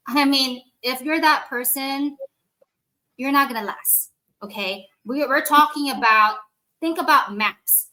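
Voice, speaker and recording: Non-native English-speaking woman host, preachy and emphatic, sharp bright tone. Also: high-pitched, low-quality recording. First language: not English. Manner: emphatic, lecturing, addresses listeners directly. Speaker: podcast host. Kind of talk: motivational podcast talk.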